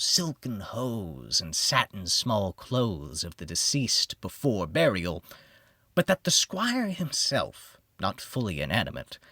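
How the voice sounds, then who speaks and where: A man narrating a gothic horror audiobook in the first person, with a theatrical, measured delivery that drops to a hush and swells for emphasis. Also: fairly low pitch, dry recording, clean studio sound.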